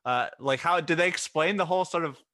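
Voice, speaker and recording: A man's voice: fairly high pitch, fairly quick, sharp tone, dry recording. A man co-hosting a podcast, asking long, loose questions full of "like" and "you know.". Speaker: podcast co-host. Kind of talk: casual interview questions.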